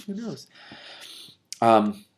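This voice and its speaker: A man's voice: mid-range voice, sing-song, extremely slow. A man reading a novel aloud expressively and breaking in with casual, spontaneous reactions. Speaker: reader commenting on a book.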